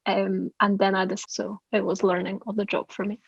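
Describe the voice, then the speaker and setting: A woman's voice: medium pitch, flat delivery, normal speed, creaky, breathy edge, no room sound, highs cut off. A woman in her thirties with a Bulgarian accent, introducing herself casually and hesitantly from her living room on a video call. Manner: hesitant, many ums. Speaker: service designer.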